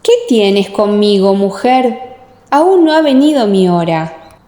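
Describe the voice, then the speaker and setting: A woman's voice: medium pitch, big pitch swings, takes it slowly, mellow, echoey room, big-room sound. A woman voicing a solemn, authoritative male religious teacher with emphatic delivery. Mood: commanding.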